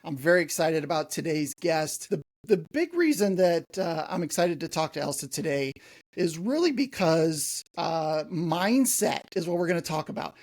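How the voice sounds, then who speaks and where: A man's voice: a little high, lively intonation, moderate pace. A man hosting a marketing podcast, chatting in a friendly, casual way with frequent fillers. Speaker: podcast host. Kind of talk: podcast interview.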